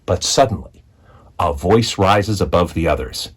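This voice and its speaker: A man reading a children's picture book aloud as a storyteller, with expressive narration and deliberate dramatic phrasing. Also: deep voice, slightly rough. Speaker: read-aloud storyteller, school executive.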